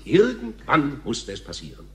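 An actor playing a showy, pompous TV talk show host in a theatrical radio drama style. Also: male voice, medium pitch, huge pitch swings, darkish tone. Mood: grandiose. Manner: theatrical, grandiose, dramatic pauses.

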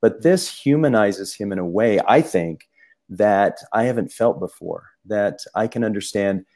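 A man co-hosting a casual discussion, talking in halting bursts with many restarts and fillers. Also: on the low side, expressive, dark tone. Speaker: podcast co-host.

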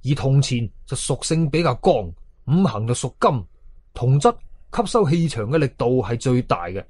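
A man's voice: mid-range voice, sing-song, moderate pace, a little mellow, dry recording, studio quality. A man with a Hong Kong Cantonese accent narrating a scary story in the first person, dramatically, with colloquial asides and exclamations. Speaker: horror storyteller.

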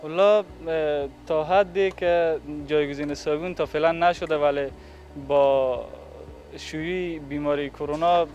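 Young man, higher-pitched, formal but halting interviewee, slightly muffled behind a mask. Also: fairly even intonation, darkish tone, slight background noise. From Afghanistan. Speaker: factory representative being interviewed. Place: large indoor factory hall. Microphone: handheld reporter microphone held toward him.

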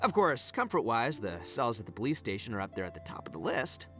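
A man's voice: medium pitch, sing-song, brisk, sharp tone, soft background music. A man playing a carefree, boastful young oni gang boss in an English video game dub, with cocky, slangy, theatrical delivery. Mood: emphatic, playful.

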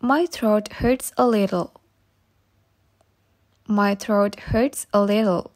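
A woman reading short English practice phrases slowly and saying each one twice for learners. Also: medium pitch. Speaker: English teacher.

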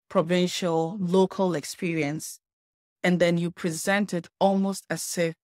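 A woman from Ghana, a scholar answering interview questions in a measured, explanatory way. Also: fairly low pitch, no room sound, clean studio sound. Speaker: guest scholar.

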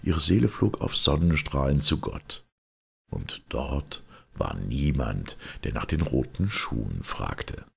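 Really deep gravelly guy reading a fairy tale, solemn and expressive, lively intonation. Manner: measured, solemn storytelling. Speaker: audiobook narrator.

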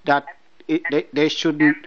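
A man with a Nigerian accent speaks in a fairly high voice, slow and deliberate, blunt and mocking. The recording is dull and lo-fi. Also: level tone, a bit bright. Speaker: person baiting a scam caller. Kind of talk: confrontational phone call.

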